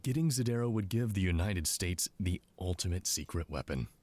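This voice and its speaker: An actor playing a smug, condescending intelligence commander in a scripted drama, talking down to people in a mocking way. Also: male voice, low voice, expressive, normal speed, darkish tone. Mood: smug, calculating. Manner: condescending, mocking, drawling asides.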